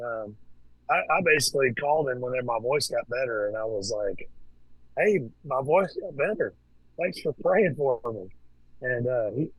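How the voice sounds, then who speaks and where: Man, podcast guest, casual and halting, average pitch, dry close sound. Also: slightly rough, dry recording, rough recording. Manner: relaxed, hesitant, with many fillers and restarts. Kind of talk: casual conversation.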